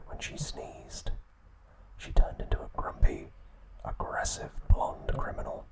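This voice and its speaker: A man narrating trivia as an ASMR host, telling it softly and without hurry. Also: unhurried, rough recording.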